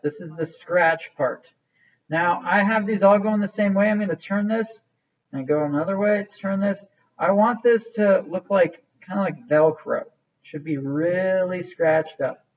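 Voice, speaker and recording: A man giving a casual, step-by-step clay modeling lesson with many fillers, recorded from some distance. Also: high voice. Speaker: art teacher. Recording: muffled sound from a distant mic.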